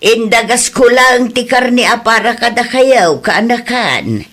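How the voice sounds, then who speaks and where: A woman's voice: fairly high pitch, animated, a little slow, fairly bright tone. A woman with an Ilocano accent playing a nosy, suspicious, plain-spoken village auntie in a radio drama.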